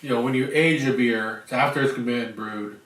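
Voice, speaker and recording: A man's voice: mid-range voice, normal speed, a bit bright. An American man co-hosting a casual review chat, explaining and joking along. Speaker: podcast co-host. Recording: roomy, distant from mic.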